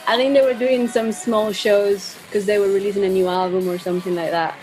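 A woman's voice: medium pitch, steady tone, fairly quick, a little mellow, faint music behind, low-quality recording. A young woman chatting casually as a musician being interviewed over a video call from a room at home. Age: young adult. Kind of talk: casual chat.

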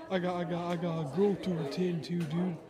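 A man playing a cocky, mocking high school jock bully in a taunting, slangy roleplay monologue. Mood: smug. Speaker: ASMR roleplay performer. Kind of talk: improvised ASMR roleplay monologue.

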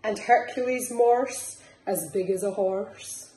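Middle-aged woman, Scottish accent, unhurried sing-song picture-book reading, nursery storytime, echoey room. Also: mid-range voice, warm tone. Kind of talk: expressive picture-book read-aloud for young children. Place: small living room, indoors. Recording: roomy echo, faint background noise.

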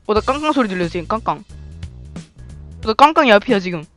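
High-voiced, excitable young man gaming. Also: a little fast, faint music behind. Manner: excitable, shouting and startled yelps. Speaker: gamer playing with a friend. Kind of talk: excited gameplay chatter with swearing.

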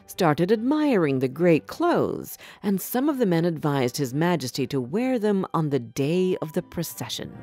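A woman narrating a scripted fairy tale for children in an expressive, measured storytelling manner. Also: on the low side.

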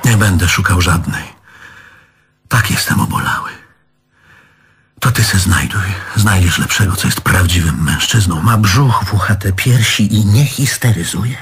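A man's voice: sits low, a little slow, a bit bright, breathy edge, full character voice. An actor playing a neurotic, bitter middle-aged man in a stage play, querulous and histrionic in a colloquial way. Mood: sulky, wounded. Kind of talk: theatrical stage play acting. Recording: live theatre recording with audience.

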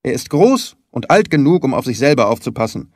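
A man voicing a quarrelsome, stingy little old lord in an audiobook, with a sharp, screechy voice and a tense, commanding manner. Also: mid-range voice, big pitch swings, brisk, no room sound, clean studio sound. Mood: indignant, miserly. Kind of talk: dramatised audiobook reading.

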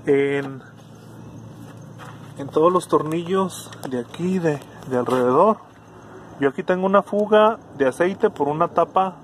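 Hombre mexicano explicando reparación de auto, voz algo aguda, ruido de fondo leve. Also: male voice, on the high side, unhurried, long pauses, slight background noise. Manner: casual, thinking aloud with restarts. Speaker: car electronics tutor. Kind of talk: hands-on repair demonstration.

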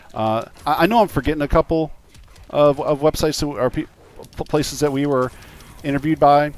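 Rambling male streamer, animated pitch. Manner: informal, rambling, sweary. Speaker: podcast host and game streamer. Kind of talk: casual livestream chat.

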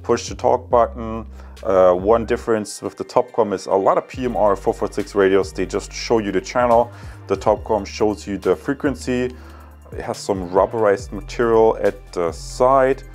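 A man in his thirties with a German accent talks casually to viewers as a product reviewer. His voice sits fairly low and his delivery is halting and conversational. Music plays behind him. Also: darkish tone. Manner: hesitant, halting, addresses viewers as guys. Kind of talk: casual unboxing and review talk to camera.

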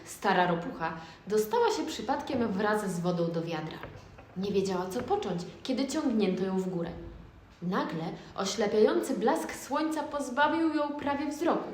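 A young girl reading scripted storybook narration for an amateur radio play. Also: on the high side. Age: child or young teen. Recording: echoey room, faint noise.

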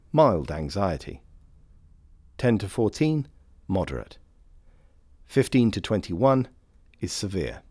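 A man narrates a training film from a script in a fairly low voice. His intonation moves around a good deal while the delivery stays formal and measured. The sound is dry and close, with no room echo. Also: darkish tone.

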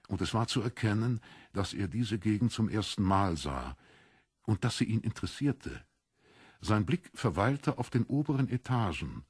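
A male narrator reads literary prose for an audio drama. His voice is fairly low and husky, recorded dry and close. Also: a little mellow, no echo.

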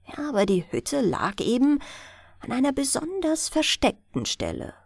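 A woman narrating a fairy tale as a bedtime audiobook, in a deliberate, expressive storytelling manner. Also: high voice, gravelly, no echo, studio quality.

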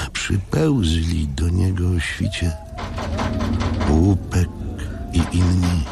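Very slow, deep-voiced male narrator. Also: creaky. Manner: slow, measured, dramatic pauses. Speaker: audiobook narrator. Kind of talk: scripted literary narration. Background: music.

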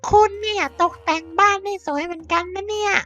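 A woman voicing a cheerful male villager uncle with a squeaky, pitched-up cartoon voice. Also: steady tone, brisk, fairly bright tone, soft background music. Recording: dull, muffled sound.

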